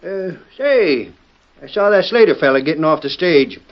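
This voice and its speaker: A homespun western sheriff for old-time radio. His voice is fairly high, with a Western American drawl and a slightly slow-witted, indignant air, heard through a muffled old recording. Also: animated. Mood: easygoing.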